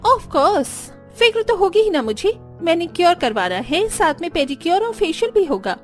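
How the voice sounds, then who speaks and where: A woman's voice: very high voice, big pitch swings, moderate pace, faint music behind. A woman voicing a spoiled, vain young daughter-in-law in a cartoon, whiny and self-important. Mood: fussy, vain. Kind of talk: Hindi cartoon voice acting.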